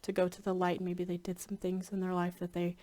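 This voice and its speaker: A somewhat low-pitched woman talks casually to her followers, her voice a bit stuffy and scratchy from congestion. She rambles along, pausing with frequent ums and restarting her sentences. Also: monotone, dark tone. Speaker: spiritual hypnotherapy practitioner. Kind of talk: casual chat to camera.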